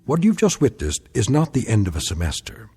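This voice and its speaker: A man narrating an eerie radio drama anthology in a deliberate, ominous, scripted style. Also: lowish voice, wildly swooping pitch, loud music behind the voice. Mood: ominous, measured. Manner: deliberate, ominous, measured phrasing. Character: solemn male narrator of eerie anthology tales.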